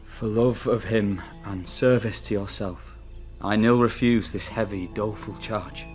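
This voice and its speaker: Low-voiced, solemn young soldier played by an actor. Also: male voice, fairly even intonation, faint music behind, lightly acted. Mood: solemn, mournful. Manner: measured, formal storytelling. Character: Horatio, loyal, solemn young Spanish soldier. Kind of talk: classical radio drama verse acting.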